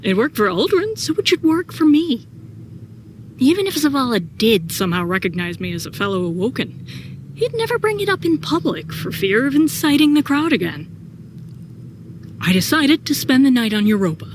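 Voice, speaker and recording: A woman's voice: high-pitched, big pitch swings, normal speed. Woman as troubled sci-fi soldier narrator, noisy ambience. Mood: brooding, wary, then anxious. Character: troubled, brooding sci-fi soldier recounting missions. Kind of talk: first-person audio drama narration. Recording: clear background noise and ambience.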